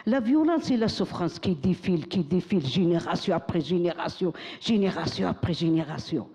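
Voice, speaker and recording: An older woman recites her own text on a stage, her voice soft and mellow. She builds rhythm by repeating lines like a chant. The sound rings with the long reverb of a big hall. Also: on the low side, lively intonation, moderate pace. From Morocco. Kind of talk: spoken-word recitation to an audience.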